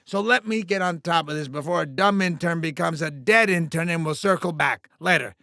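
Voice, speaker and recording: A sleazy alien TV mogul from a video game, pitched high with a heavy gravelly creak. He talks in pompous, smarmy showbiz patter with a bright, forceful edge, wheedling and self-important. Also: brisk, dry recording, studio quality. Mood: chatty, evasive. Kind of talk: theatrical video game character acting.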